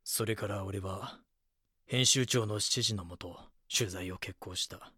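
A man's voice: on the low side, a little slow, sharp tone, breathy edge, dry recording, clean studio sound, full character voice. A man playing a brooding reporter who narrates an audio drama in the first person, somber and reflective. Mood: regretful. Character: brooding male reporter narrating his regretful recollection.

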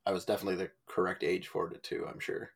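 A man's voice: deep voice, flat delivery, quick pace, creaky, breathy edge. A man co-hosting a podcast, chatting casually about movies and directors. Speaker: podcast co-host.

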